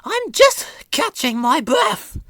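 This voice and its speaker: A woman acting a haughty old female lorry, storybook character, high-pitched, brusque, scolding, wildly swooping intonation. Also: big pitch swings, slow, a bit bright, no room sound, clean studio sound, full character voice.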